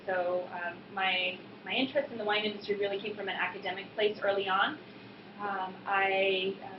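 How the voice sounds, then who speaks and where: An American woman gives an informal, explanatory presentation with frequent hesitant pauses. Her voice rings in a reverberant room, and the sound is somewhat dull, with clear noise behind it. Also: mid-range voice. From Boston suburbs, US East Coast. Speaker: winemaker presenting at a tasting. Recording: echoey room, muffled, noisy background.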